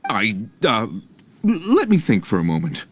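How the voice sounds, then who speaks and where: A man's voice: mid-range voice, huge pitch swings, moderate pace, slight background noise. A man voicing a flustered, stammering merchant in a video game. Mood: flustered, relieved. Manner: stammering, hesitant.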